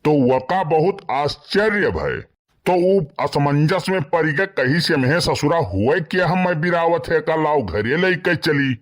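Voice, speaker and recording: An actor plays a gossipy village man in a comedy cartoon, his voice pitched a bit high. He talks in a rustic Awadhi accent and has a mischievous, cynical way about him. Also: expressive, unhurried. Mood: wry storytelling. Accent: Awadhi, eastern Uttar Pradesh.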